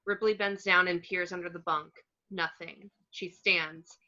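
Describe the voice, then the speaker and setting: Lowish, bright-edged woman narrating a script. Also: fairly even intonation, a little slow. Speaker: narrator of action descriptions. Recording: dull, lo-fi home recording.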